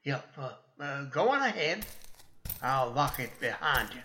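Man acting a slow-drawling, folksy western sheriff. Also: medium pitch, big pitch swings, sharp tone, a little hiss or hum. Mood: puzzled. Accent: American Western drawl. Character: genial, folksy older small-town western sheriff. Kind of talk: old-time radio western acting.

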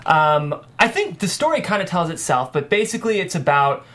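A man's voice: sits high, very animated, brisk, fairly bright tone. A man hosting a table read and narrating the script's stage directions.

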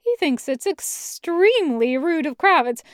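High-voiced woman reading a story. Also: very animated, measured pace, no room sound, clean studio sound. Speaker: podfic reader narrating fan fiction. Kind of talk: expressive scripted narration.

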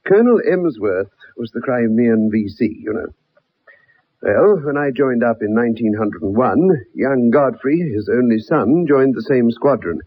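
A genial, respectable British gentleman for radio drama, polite and earnest. His delivery is very animated, with some huskiness. The recording is old, dull and lo-fi. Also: mid-range voice, normal speed, husky. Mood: eager, earnest.

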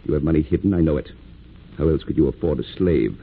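An actor playing a haughty, menacing army captain in an old-time radio drama, curt and imperious. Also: male voice, extremely low pitch, quick pace, breathy edge, heavily acted. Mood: threatening. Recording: muffled, noisy old radio recording.

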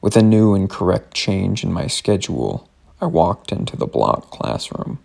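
Man, deep register, gravelly creak, reading written narration aloud, letter-like first-person story. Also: sing-song, moderate pace, darkish tone.

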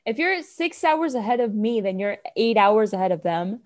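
A woman's voice: on the high side, animated, a little mellow. A teenage girl in playful, bubbly chat with a friend. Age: teens. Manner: bubbly, fast back-and-forth, playful. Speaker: co-host. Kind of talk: casual chat.

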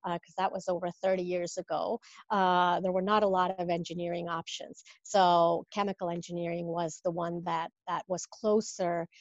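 Mexican-born woman coach, fifties, lower register, thoughtful and halting, dry muffled line. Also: little pitch movement, no room sound. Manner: thoughtful, with many fillers and restarts. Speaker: guest, business coach. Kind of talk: casual interview.

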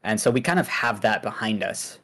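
Rambling young man, low voice. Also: animated, brisk, a bit bright. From Pakistan. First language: Urdu. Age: young adult. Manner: rambling, many fillers and restarts. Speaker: streamer guest. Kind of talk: casual interview chat.

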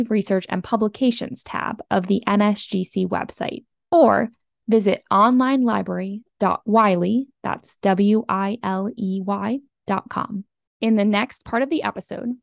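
A woman hosts a professional podcast and reads guest introductions in a steady, scripted delivery. Her voice sits at a middle pitch with a gravelly edge of fry. The recording is dry and close. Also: no room sound. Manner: reads introductions clearly, spelling out web addresses. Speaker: podcast host. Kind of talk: scripted podcast narration and interview.

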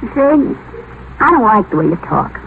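High-pitched, pouty young mistress. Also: female voice, very animated, moderate pace, vocal fry. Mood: pouty indignation. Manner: pouty, slangy, indignant. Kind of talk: 1950s radio play acting. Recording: tinny, noisy old radio broadcast.

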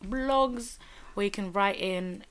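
A young British woman co-hosting a podcast, relaxed and unhurried as she explains things at length. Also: mid-range voice, smooth. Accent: British English. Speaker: podcast co-host. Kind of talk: casual chat.

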